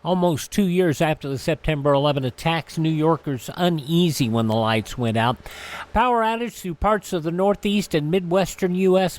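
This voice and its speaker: A man hosting a radio show, reading through an almanac in an announcer-like way with casual asides. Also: fairly high pitch. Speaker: radio host.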